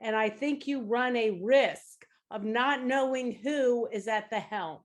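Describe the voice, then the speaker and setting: A woman co-hosting a finance podcast, chiming in with measured warnings and questions. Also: a little high. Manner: measured, cautionary. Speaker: podcast co-host. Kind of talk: casual finance talk.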